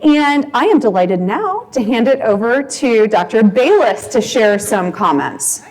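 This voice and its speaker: Slightly high-voiced woman presenting data slides, steady and patient, filler-heavy. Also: poor audio. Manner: measured, frequent ums, walks through slides. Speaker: conference presenter. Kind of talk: presenting survey results. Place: conference session room.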